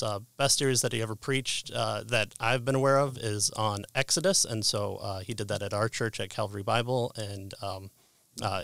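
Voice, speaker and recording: A middle-aged man hosting a casual interview, speaking in a hesitant, halting way into a close microphone. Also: on the low side, vocal fry, a bit bright, dry recording. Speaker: podcast host and interviewer. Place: indoor room lined with bookshelves. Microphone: close boom-arm microphone with headphones.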